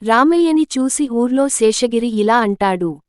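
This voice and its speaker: Higher-voiced woman narrating a story, dry recording. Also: animated, a little slow, no room sound.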